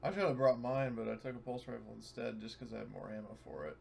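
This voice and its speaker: A man's voice: mid-range voice, fast talker, vocal fry, a crowd in the background. A man chatting casually as a player in a tabletop game, sounding distant from the microphone. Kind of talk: casual chat.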